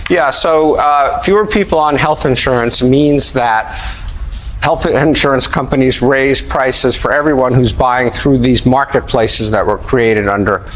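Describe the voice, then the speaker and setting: A man's voice: medium pitch, measured pace, poor audio. A man explaining figures as an analyst on a news programme. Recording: clear background noise.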